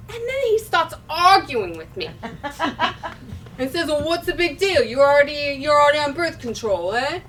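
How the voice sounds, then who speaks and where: A woman's voice: very high voice, wildly swooping pitch, takes it slowly, long pauses, sharp tone, exaggerated voice. A woman playing a blunt, exasperated female bar worker in a comic stage monologue, casual and conversational. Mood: exasperated storytelling. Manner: casual, profane, conversational storytelling. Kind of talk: live comedic stage monologue. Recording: distant mic, noisy live room.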